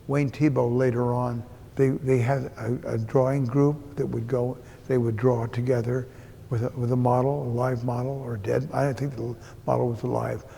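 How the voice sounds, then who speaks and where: A man in his eighties gives an informal gallery tour in a halting, deliberate way with a dry, joking manner. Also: mid-range voice, creaky, dark tone, slight background noise. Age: 80s. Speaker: art gallery owner giving an exhibition tour. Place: indoors in an art gallery. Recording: long echo of a hard-walled gallery.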